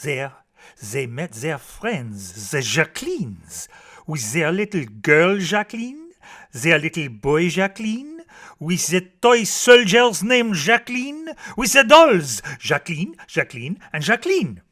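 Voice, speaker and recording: An easygoing father, voiced by a storyteller, bright and unhurried. He spins a silly storybook tale with deliberate, playful repetition. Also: medium pitch, sing-song, full character voice. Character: easygoing sleepy father telling silly bedtime tales.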